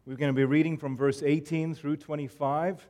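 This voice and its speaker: A man's voice: fairly high pitch, level tone, normal speed. A man in his forties from South Africa, whose accent is a South African and American mix, preaching a sermon as guest pastor in an earnest, friendly manner with frequent "uh" fillers. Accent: South African mixed with American. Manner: warm, earnest, self-deprecating pulpit delivery with frequent fillers.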